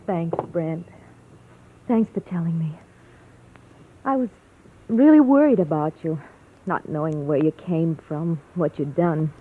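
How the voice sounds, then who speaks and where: An actress playing a tender, anxious, loyal sweetheart in a vintage radio drama, hesitant and sincere. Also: female voice, low-pitched, measured pace, pauses often, a little hiss or hum. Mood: tender, worried. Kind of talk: 1940s-50s radio drama acting. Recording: tinny old radio recording.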